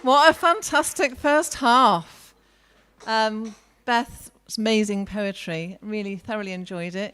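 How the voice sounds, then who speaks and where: A woman's voice: mid-range voice, a bit bright. A woman hosting a poetry evening in a cafe, upbeat and chatty with casual fillers. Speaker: event host. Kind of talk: live event hosting.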